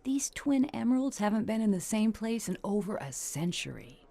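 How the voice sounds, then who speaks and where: An actress playing a brassy, coaxing middle-aged ex-performer with slangy talk in a noir radio drama. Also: female voice, medium pitch, very animated, normal speed, vocal fry, mellow. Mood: persuasive. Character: Julie, worldly older female ex-performer, brassy, motherly pal. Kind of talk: 1950s-style noir radio drama acting.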